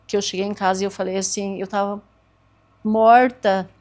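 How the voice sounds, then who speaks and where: A woman's voice: medium pitch, little pitch movement, normal speed, darkish tone. A woman in her forties, a podcast guest earnestly telling her own story and acting out past conversations. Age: 40s. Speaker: podcast guest, therapist and speaker.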